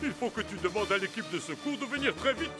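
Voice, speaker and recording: A man's voice: high-pitched, expressive, quick pace. A man voicing an anxious, easily frightened talking vehicle in a children's cartoon dub, exclaiming dramatically. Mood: panicked. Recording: loud music under the voice.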